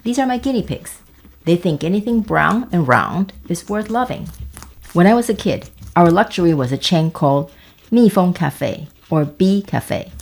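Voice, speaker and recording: A woman's voice: lowish voice, animated, moderate pace, gravelly, darkish tone. Wry woman in her fifties narrating. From Taiwan. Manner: wry, conversational, with asides and jokes. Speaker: video essay host. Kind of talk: scripted personal narration.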